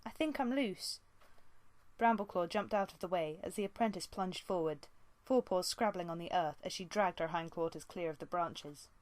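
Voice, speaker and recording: A woman's voice: fairly low pitch, fairly quick, few pauses, a little mellow, dry recording. A woman reading a story aloud as an amateur audiobook narrator.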